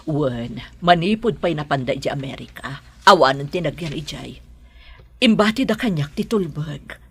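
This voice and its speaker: Gentle mother of adult children played by a woman, Ilocano. Very husky, slightly low, concerned. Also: expressive, moderate pace, exaggerated voice. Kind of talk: Ilocano audio drama acting.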